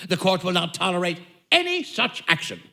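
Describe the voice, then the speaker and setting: An actor playing an exasperated, short-tempered, blustering judge in an old-time radio comedy. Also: male voice, sits high, very animated, a little fast, creaky, bright, forceful tone, exaggerated voice. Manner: blustering, peremptory, sputtering.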